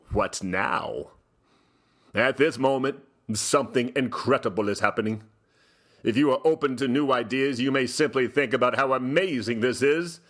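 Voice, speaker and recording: Pompous gentleman host, very slow delivery, mid-pitched, dramatic pauses, mock-solemn literary reading, comedy theatre style.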